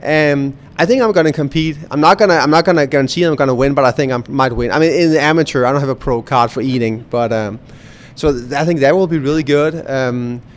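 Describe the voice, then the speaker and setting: A man's voice: medium pitch, fast talker. A young adult man from Denmark with a Danish accent, a museum director chatting in a radio interview with lots of fillers and restarts. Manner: hesitant, many fillers and restarts.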